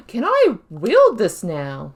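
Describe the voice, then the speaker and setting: A woman giving casual commentary while playing a game, thinking aloud and breaking into excited exclamations. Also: a little high, extremely slow, slightly rough. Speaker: let's play host.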